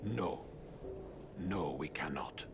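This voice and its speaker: A deep, gravelly old mutant sensei. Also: male voice, animated, heavily acted. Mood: grave, worried. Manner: solemn, measured, formal. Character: grave, elderly mutant sensei father, worried and wise. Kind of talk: video game cutscene acting. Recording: clear ambient noise behind.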